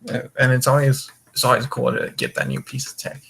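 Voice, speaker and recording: A man's voice: medium pitch, fairly even intonation, brisk, vocal fry, a bit bright, husky. A young man hosting a gaming voice call, rambling casually in a laid-back way and swearing often. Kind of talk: casual group chat, rambling. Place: online voice call.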